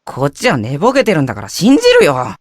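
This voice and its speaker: A man's voice: a little high, huge pitch swings, rapid-fire, dry recording, clean studio sound. A man voicing a gullible, melodramatic teenage schoolboy as the comic sidekick in a Japanese visual novel. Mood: brash. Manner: melodramatic, swinging from shrieks to mournful murmurs.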